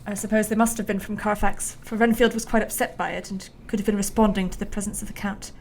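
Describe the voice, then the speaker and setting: A woman playing a brisk, clinical Victorian doctor. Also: mid-range voice, flat delivery, a little mellow, a little hiss or hum, natural voice. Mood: puzzled, analytical. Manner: brisk, matter-of-fact, technical wording. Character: brisk, clinical Victorian asylum doctor, matter-of-fact and analytical. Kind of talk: radio play acting.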